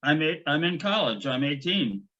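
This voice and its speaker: A man's voice: a little high, big pitch swings, normal speed, bright, forceful tone, full character voice. A man voicing a slightly insolent eighteen-year-old piano player. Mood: cheeky. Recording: dull lo-fi recording.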